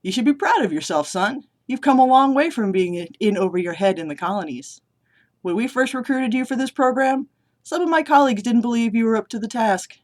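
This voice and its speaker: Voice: mid-range voice, animated, fairly quick, voice pushed up, lightly acted. A vlogger reading aloud and voicing an older male military commander who is smug and manipulative. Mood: smug commanding. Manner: raised, louder and more forceful than own talk. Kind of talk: reading a story scene aloud.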